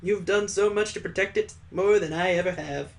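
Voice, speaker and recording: Man doing a high-voiced, hearty old father-in-law. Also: moderate pace, vocal fry. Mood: earnest, sentimental. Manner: hearty, earnest, joking. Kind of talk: visual novel character read aloud.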